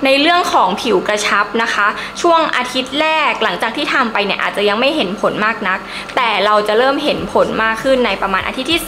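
A young woman talks like a beauty vlogger reviewing something for her viewers. Her voice is very bright and fairly high, and her delivery is chatty and emphatic. There is clear noise behind her. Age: young adult. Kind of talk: casual review to camera.

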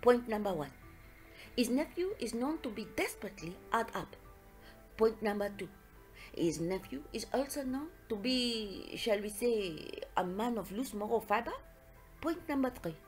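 A woman's voice: a little high, lively intonation, moderate pace, soft background music, natural voice. A woman voicing a confident, middle-aged male detective, precise and affectionate, in an audiobook story. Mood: methodical. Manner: precise, self-satisfied, foreign turns of phrase.

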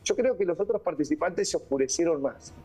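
A man's voice: fairly high pitch, expressive, brisk, dark tone, faint music behind. A man with an Argentine accent giving colloquial, opinionated views as a guest on a TV video call. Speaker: guest panelist. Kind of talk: casual TV debate. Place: indoor room, remote video call.

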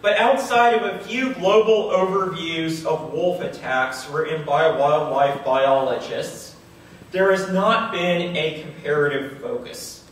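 A middle-aged man lectures formally in a big, very echoey auditorium, heard from far off with faint noise behind him. His voice sits a little high and he moves slowly and deliberately through scripted academic prose. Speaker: history professor. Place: large indoor auditorium, at a podium on stage.

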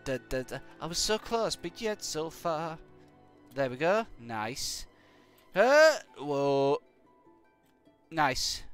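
A young man with a British accent and a croaky voice from hay fever, chatting to viewers in a rambling, jokey way while he plays a game live. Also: high-pitched, a bit bright. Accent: British English. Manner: rambling and jokey, hums nonsense syllables. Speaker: game streamer.

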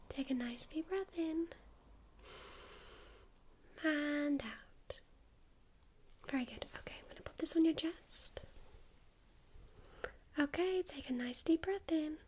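A woman with a high, airy voice whispers close to the microphone in a soothing medical check-up roleplay. She talks gently and reassuringly, guiding the listener through each step, with a faint hiss behind her. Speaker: ASMR creator playing a first-aid medic.

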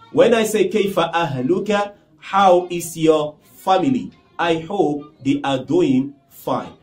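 Lively Nigerian man teaching phrases. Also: on the high side, reverberant. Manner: lively, repeats phrases, gestures and smiles. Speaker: Arabic teacher. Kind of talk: language lesson to camera. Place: small indoor studio with whiteboard.

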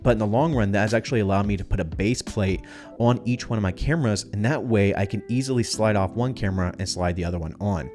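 A man's voice: on the low side, darkish tone. A young man with a stuffed-up, nasal voice, casually walking through his camera gear as a filmmaking channel host. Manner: casual, chatty gear talk. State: congested, stuffed up. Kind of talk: gear review vlog. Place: dim home studio. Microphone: studio mic on boom arm.

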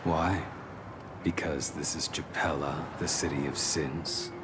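An actor voicing a grim, cold swordsman in a game, speaking tersely and deadpan. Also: extremely low pitch, slow, a little hiss or hum, heavily acted. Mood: dry. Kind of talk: game cutscene voice acting.

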